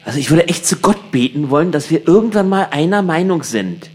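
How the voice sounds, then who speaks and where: Man with a slightly high conversational voice. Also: animated, fairly quick, darkish tone, faint music behind. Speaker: radio show participant. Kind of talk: casual conversation.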